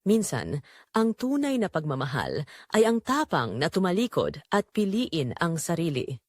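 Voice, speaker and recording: A woman narrating a scripted dramatic story in a solemn, deliberate, emotive way. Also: on the low side, huge pitch swings, darkish tone, dry recording, clean studio sound.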